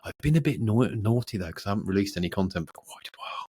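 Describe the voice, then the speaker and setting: A man co-hosting a podcast, chatting casually and off the cuff in a rambling, playful, excitable way. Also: fairly low pitch, fast, breathy edge. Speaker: podcast co-host. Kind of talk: casual unscripted podcast chat.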